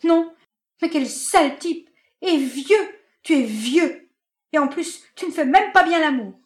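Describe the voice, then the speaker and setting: A woman voicing a furious young woman, screaming shrill, high-pitched outbursts at her lover. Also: lively intonation, a little slow.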